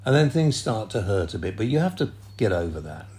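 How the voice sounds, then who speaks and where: A man's voice: fairly low pitch, sing-song, brisk, darkish tone. An older man, hosting a casual podcast chat and laughing often. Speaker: podcast host.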